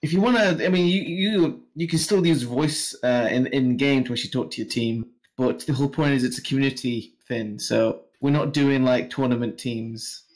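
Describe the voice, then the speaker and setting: A man's voice: mid-range voice, moderate pace. Easygoing man running a community game. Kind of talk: casual voice chat.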